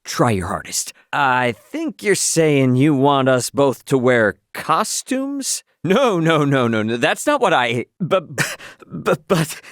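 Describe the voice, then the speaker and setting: Young spacefarer, male, video game character, casual slangy delivery, lively swooping intonation, mid-pitched, easygoing and brash. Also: slow pace, no room sound, studio quality. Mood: exasperated, sheepish.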